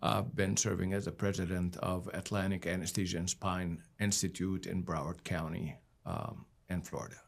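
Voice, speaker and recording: Deep gravelly man from Egypt. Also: little pitch movement. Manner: measured, formal, with fillers. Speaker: physician guest. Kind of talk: self-introduction in interview.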